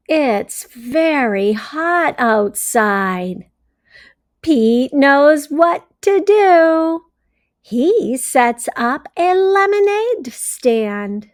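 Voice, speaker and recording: A woman reading a picture book aloud to young children, deliberately and simply, pausing to put questions to her listeners. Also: sits high, dry recording, clean studio sound. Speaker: read-aloud storyteller for early readers.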